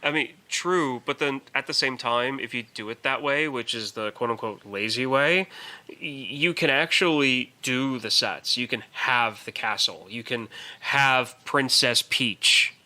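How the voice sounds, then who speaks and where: Male podcast co-host with a very bright, forward voice, mid pitch, emphatic in casual film debate. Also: unhurried.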